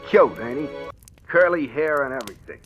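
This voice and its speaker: Sneering hired gunman, acted by a man: forceful, sharp-edged voice, slangy taunts, vintage radio crime drama with faint music. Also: medium pitch, lively intonation, moderate pace, natural voice. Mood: mocking menace. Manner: mocking, slangy tough-guy talk. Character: big, menacing, sneering hired killer. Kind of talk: 1940s radio gangster acting. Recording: old-time radio recording, faint music.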